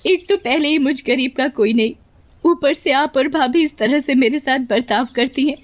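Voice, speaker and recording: Woman as high, tearful young daughter-in-law. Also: normal speed, natural voice. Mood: plaintive. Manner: plaintive, pleading.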